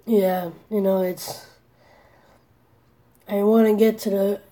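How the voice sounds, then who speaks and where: A woman in her forties speaks slowly in a flat, low-key voice with a dark, mellow tone. She talks candidly to her vlog camera, earnest and a little worried, often restarting her sentences and leaning on "you know.". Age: 40s. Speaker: vlogger.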